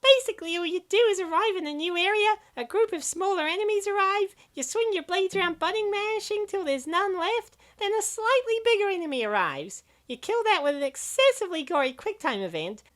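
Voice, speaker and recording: Woman acting as young female gamer, high voice, very animated, sardonic, opinionated, comic dub banter. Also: brisk, hardly any pauses. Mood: sardonic ranting.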